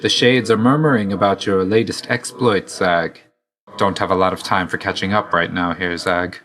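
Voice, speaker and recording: An actor playing a reserved, dutiful god of death in a video game, speaking in a measured, formal, understated way. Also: male voice, sits low, fairly bright tone. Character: male god of death, reserved, dutiful, weary, quietly fond. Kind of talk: video game character voice lines.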